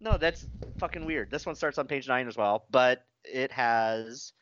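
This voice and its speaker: A man's voice: mid-range voice, measured pace, a bit bright, muffled recording, slight background noise. A man co-hosting a casual comedy podcast, laughing often and swearing freely. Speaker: podcast co-host.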